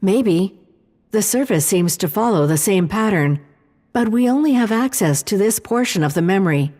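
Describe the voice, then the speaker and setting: A mellow female artificial intelligence acted by a woman speaks inside a long, hall-like reverb. She is calm and precise, laying out analysis in measured, formal sentences, as in a sci-fi audio drama. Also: medium pitch, close to an everyday voice. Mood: measured, analytical.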